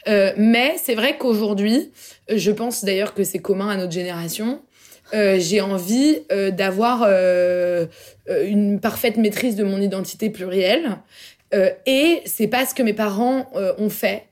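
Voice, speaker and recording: A woman's voice: mid-range voice, a little slow, darkish tone. A young woman from Paris, a guest in a casual round-table chat, talking loosely with slang and many hesitations. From Paris, France. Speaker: podcast guest.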